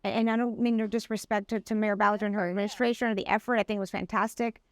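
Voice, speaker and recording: A woman's voice: mid-range voice, little pitch movement. A middle-aged woman from Colombia, a guest in an interview, speaking with passion and drawing out key words for emphasis. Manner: passionate, emphatic, drawn-out stresses. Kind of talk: conversational interview.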